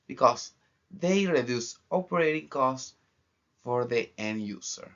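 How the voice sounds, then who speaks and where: A young man from Argentina with an Argentine accent, a student giving a formal final project presentation in measured phrases with pauses. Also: mid-range voice, fairly bright tone. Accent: Argentine Spanish. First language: Spanish. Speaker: international trade student. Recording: dull lo-fi recording.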